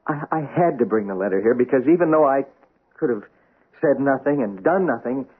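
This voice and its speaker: Shifty, stammering husband, husky and jumpy, on tinny old radio. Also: male voice, mid-range voice, animated, a little fast. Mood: pleading. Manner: stammering, evasive. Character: nervous, stammering cheating husband, middle-aged man. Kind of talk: 1970s radio drama acting. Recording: tinny lo-fi vintage radio recording.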